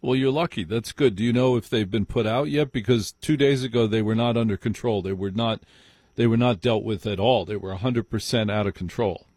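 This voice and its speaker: A man's voice: lowish voice, fairly quick, a little mellow, no room sound. American guy hosting late radio call-in, emphatic, repeats himself, dry close studio sound. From New Jersey, United States. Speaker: radio call-in show host. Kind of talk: live talk radio conversation.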